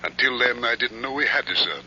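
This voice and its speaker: A man's voice: mid-range voice, animated, fairly quick, pushed and bright. An actor playing a lonely, earnest uncle voicing his letter in a 1940s radio drama. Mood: earnest, regretful. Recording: muffled, noisy old radio recording.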